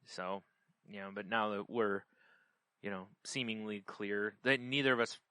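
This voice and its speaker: Bright-voiced man chatting casually. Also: on the low side, unhurried, clean tone, no room sound. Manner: rambling, conversational, quotes himself and others. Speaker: podcast host. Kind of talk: casual chat, personal anecdotes.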